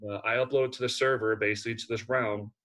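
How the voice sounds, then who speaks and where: A man on a video call who explains haltingly, with many pauses and restarts. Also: mid-range voice, steady tone, a bit bright. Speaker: parent running a game server.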